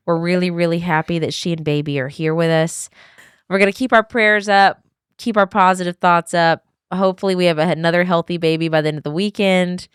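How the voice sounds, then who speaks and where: A woman with a tired, worn, smoker-like voice, chatting casually and low-key as a vlog host. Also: steady tone, a little fast, smooth, no echo, studio quality. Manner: low-energy, informal teasing. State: tired, voice strained. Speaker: vlog host quizzing friends. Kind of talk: casual chat.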